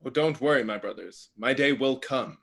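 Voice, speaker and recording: A man's voice: mid-range voice, normal speed, vocal fry, fairly bright tone, slightly rough. A man playing a domineering eldest-brother god of the underworld, condescending and threatening, in a staged play reading.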